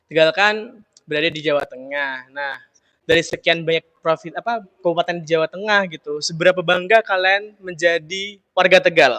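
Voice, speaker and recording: Young male interviewer from Solo, upbeat and chatty, bright tone, dry close recording. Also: fairly high pitch, normal speed, no echo. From Solo, Central Java. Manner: upbeat, repeats "oke, siap". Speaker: interviewer host. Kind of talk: casual interview chat.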